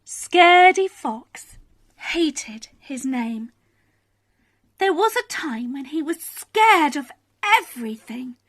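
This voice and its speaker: A woman reading a children's bedtime story expressively, with dramatic pauses and emphasis. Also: high-pitched, animated, a bit bright.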